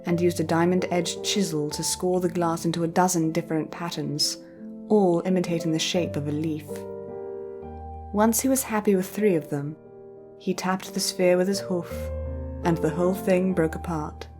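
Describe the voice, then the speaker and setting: A woman storyteller reading scripted fiction narration in a measured, careful way. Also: fairly low pitch, steady tone, music in the background.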